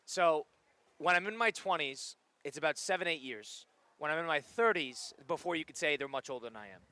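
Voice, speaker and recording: A young man in his twenties hosting a casual sports radio show, animated and rambling, quick to laugh. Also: fairly high pitch. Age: 20s. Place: radio studio.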